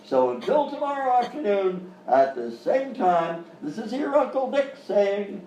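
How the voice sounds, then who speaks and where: A man's voice: high voice, big pitch swings, measured pace, a little mellow. A man playing a flustered, relentlessly cheerful uncle who hosts a children's show in a live radio comedy sketch, talking down soothingly. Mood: breezy. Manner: reassuring, singsong talk to children. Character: avuncular, flustered male kids' show host, relentlessly cheerful. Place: live performance in hotel venue. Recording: roomy live stage, distant mic, faint noise.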